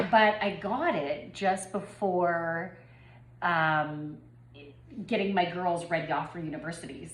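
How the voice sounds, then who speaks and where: A woman in her forties, a bit congested from a head cold, talking in a rambling, personal way with many ums and restarts in a spiritual vlog. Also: on the low side. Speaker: spiritual reader and yoga teacher. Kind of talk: casual spiritual channeling vlog. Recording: echoey room with faint background noise.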